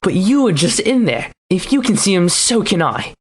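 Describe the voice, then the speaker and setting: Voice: mid-range voice, very animated, normal speed, husky. An actor playing a petulant, demanding young boy prince in an audio drama, whining and insistent.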